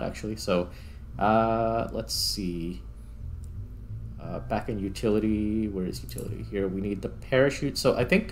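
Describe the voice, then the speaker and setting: Low-voiced man doing casual game commentary. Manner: informal, thinking aloud. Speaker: host of a gaming series. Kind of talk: casual let's-play commentary. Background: background music.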